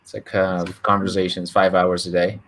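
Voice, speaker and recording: A man with an American accent co-hosting a podcast, relaxed and easygoing. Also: sits low, normal speed, slight background noise. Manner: relaxed, uses fillers like "like", laughs easily. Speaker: podcast co-host. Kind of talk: casual chat.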